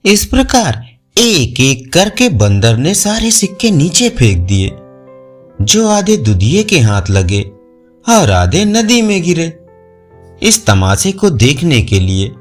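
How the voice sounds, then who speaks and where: A man narrating moral folk tales as a scripted storyteller. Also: fairly high pitch. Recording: music under the voice. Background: faint music.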